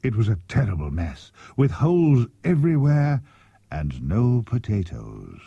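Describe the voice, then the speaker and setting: A man's voice: fairly low pitch, wildly swooping pitch, measured pace, gravelly, dark tone, husky, no room sound. A man narrating a scripted children's story expressively as an audiobook reader. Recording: dull cassette tape transfer. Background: music.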